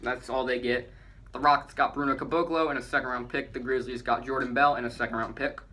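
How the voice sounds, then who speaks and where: Bright-voiced man in casual sports chat. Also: medium pitch, steady tone, fast. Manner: chatty, jokey, reads out trades and counts down. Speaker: podcast co-host.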